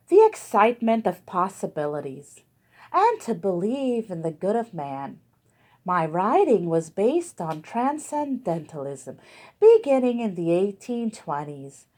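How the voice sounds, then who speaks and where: A woman playing an earnest 19th-century woman poet in a school skit, reciting slowly and carefully. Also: medium pitch, darkish tone.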